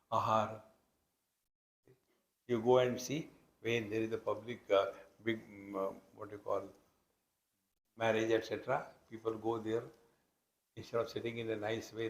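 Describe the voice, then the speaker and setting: A man's voice: lowish voice, flat delivery, moderate pace, vocal fry, long pauses. A man with an Indian accent giving a spiritual discourse as a teacher, explaining scripture in a conversational yet emphatic way. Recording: roomy, echoing hall sound.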